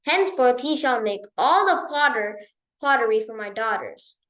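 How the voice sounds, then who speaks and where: Bossy older king from a storybook, lady reading him, high voice, questioning, stern. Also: animated, normal speed, fairly bright tone, echoey room. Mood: commanding. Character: stern, commanding older king, shrewd and generous father.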